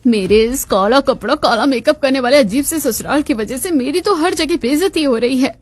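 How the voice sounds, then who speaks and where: A woman's voice: sits high, expressive, normal speed, a little hiss or hum. A woman voicing a sarcastic, defiant young daughter-in-law in a family cartoon, dramatic and mock-sweet. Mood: scheming.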